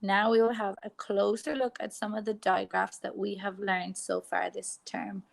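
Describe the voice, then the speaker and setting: An Irish woman teacher giving a phonics lesson to young children, sounding out letters slowly and clearly. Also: mid-range voice, little pitch movement. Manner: slow, exaggerated sounding out of letters.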